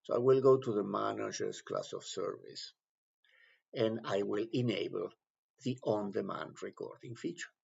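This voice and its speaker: A man with a low, very gravelly voice walks through a software task step by step. He explains in short, halting phrases, as an instructor would. The recording is somewhat dull and lo-fi. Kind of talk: step-by-step software screen tutorial.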